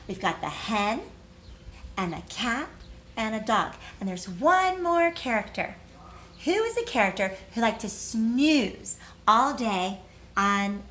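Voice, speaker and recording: A woman's voice: mid-range voice, fairly bright tone, a little hiss or hum. A woman teacher leading a read-aloud lesson for young children in simple, encouraging language, asking questions and answering them herself.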